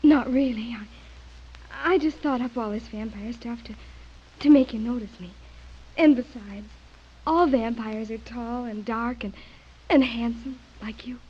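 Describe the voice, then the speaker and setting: An actress playing a timid, infatuated young woman with a breathy, hesitant delivery in old-time radio horror style. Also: a little high, warm tone. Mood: nervous, confessing. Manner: hesitant, halting, nervous. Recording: muffled old radio drama recording.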